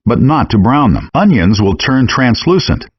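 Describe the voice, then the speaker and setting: A man narrating scripted cooking instructions for a game in a measured, step-by-step way, in muffled, compressed handheld game audio. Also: mid-range voice, very animated, husky.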